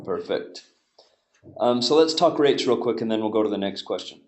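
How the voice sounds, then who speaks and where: A man's voice: fairly low pitch, animated, normal speed, a little mellow. Hesitant male host in an echoey room. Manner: hesitant, many fillers and restarts. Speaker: webinar host. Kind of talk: webinar moderation.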